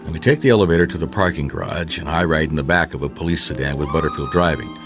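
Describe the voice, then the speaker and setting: An actor playing a wry, resourceful private detective in a noir radio drama, with a colloquial, hard-boiled way of talking. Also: male voice, rumbling low, fast talker, music in the background. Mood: intent narrating. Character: wry, resourceful male private detective narrating his case. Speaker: lead actor and narrator. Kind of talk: noir radio drama acting and first-person narration.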